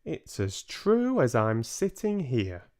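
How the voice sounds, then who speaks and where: A man voicing a gentle, wise old grandmother, calm and loving, with tender, deliberate speech, in a storybook reading. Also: mid-range voice, voice pushed up.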